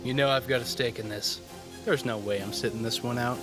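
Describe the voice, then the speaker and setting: A man playing a firm, wry team planner in an audio drama, taking charge briskly. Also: mid-range voice, steady tone, music in the background, lightly acted.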